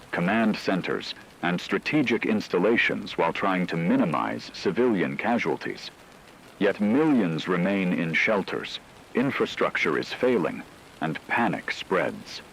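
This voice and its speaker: A man's voice: deep voice, a bit bright, a little hiss or hum, full character voice, poor audio. A man playing a solemn radio anchor, reading a portentous scripted dramatic news report about a catastrophe. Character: grave male radio anchor reporting nuclear war.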